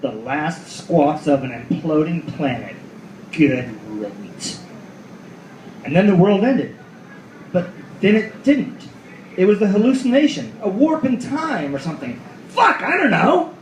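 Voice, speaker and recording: A man's voice: sits high, very animated, unhurried. A man playing a cynical, world-weary detective who narrates his own noir radio play with profane, sardonic asides. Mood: wry, rattled. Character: hardboiled cynical male detective at the world's end. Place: live reading in a roomy venue. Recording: roomy live recording with faint noise.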